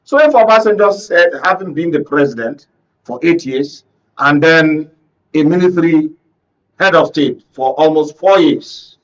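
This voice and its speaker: High-pitched Nigerian man, emphatic political commentary. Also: measured pace, highs cut off. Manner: emphatic, rhetorical, checks listeners follow with questions. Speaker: panel guest, conflict reporter. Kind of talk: political commentary on a talk show. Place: radio and TV studio discussion.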